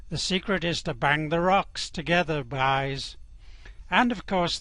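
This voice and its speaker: A man's voice: fairly high pitch, fairly bright tone, no echo. A man playing an upbeat galactic radio newsreader with a showy broadcast delivery in a comic bulletin. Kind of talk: radio news bulletin parody.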